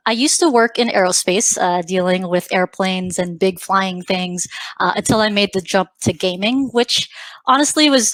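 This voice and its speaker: A woman's voice: lowish voice, fairly bright tone, dry recording. A woman working in HR gives a friendly, casual self-introduction at a virtual conference. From born in the Philippines, grew up in Los Angeles. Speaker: HR business partner at a game company.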